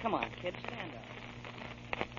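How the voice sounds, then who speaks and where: Steady brother, hissy old radio. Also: male voice, sits high, slow pace, heavily acted. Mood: weary, hushing. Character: steady, protective, long-suffering brother, gruffly caring. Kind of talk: 1940s radio drama acting. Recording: muffled old radio broadcast, clear hiss.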